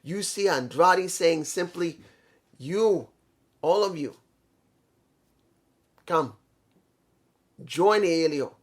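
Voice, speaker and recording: A man's voice: a little high, very slow, long pauses. Rambling man reviewing a wrestling show. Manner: rambling, self-correcting, stumbles over names. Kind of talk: casual solo review talk.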